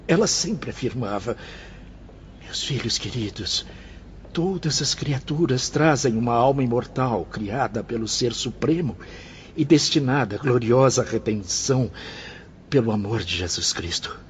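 A Brazilian actor playing a humbled former bohemian, earnest and formal, in a declamatory radio drama style. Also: male voice, mid-range voice, animated, measured pace. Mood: wistful, regretful. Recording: dull radio recording with faint noise.

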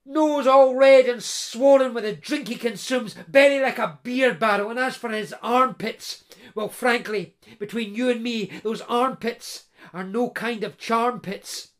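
A storyteller voicing a young runaway bride, pleading and breathless. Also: male voice, extremely high pitch, a little slow.

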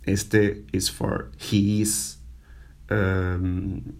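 A man who is not a native English speaker giving an informal language lesson, halting and full of fillers, repeating words for the learner. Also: sits low, flat delivery, a little mellow. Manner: slow, halting, many fillers and repetitions. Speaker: language teacher.

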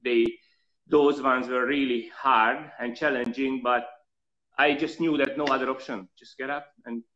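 A man with a slight European accent recounts his life story as an interview guest. He talks earnestly at an even middle pitch and hesitates on fillers often. The audio is dull and lo-fi. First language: not English. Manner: earnest, reflective, halting with fillers.

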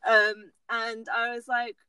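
A woman's voice: on the high side, monotone, takes it slowly, sharp tone, smooth, dry recording. A young Dutch woman in her twenties with an English accent, chatting as a podcast guest and laughing easily. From Netherlands. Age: 20s. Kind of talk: casual chat.

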